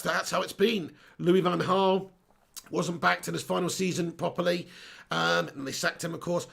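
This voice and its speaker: Hoarse male stream host, gravelly, higher-pitched, rambling casual rant. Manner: rambling, repeats himself, reads viewer comments aloud. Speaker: host of a fan live stream. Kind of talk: casual live-stream commentary.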